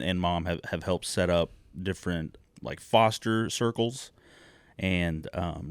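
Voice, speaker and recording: A man with a notably low voice, raised in China, speaks as a podcast guest. He is measured and reflective, pausing on fillers and restarting his phrases. The sound is close and dry, with no room around it. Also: clear, resonant tone, dry recording. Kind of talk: reflective personal storytelling.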